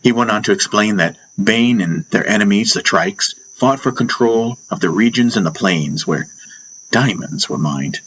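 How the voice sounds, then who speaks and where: A man narrating a first-person fantasy audiobook in deliberate, dramatic short phrases. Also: on the low side, lively intonation, fairly bright tone, slight background noise, poor audio. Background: music.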